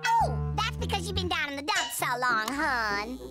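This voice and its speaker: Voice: sits high, sing-song, normal speed. An actor voicing a brash, mischievous cartoon bird with a gushing, sing-song, bossy manner. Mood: gushing, coaxing. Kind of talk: animated cartoon voice acting. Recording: loud cartoon music behind the voice.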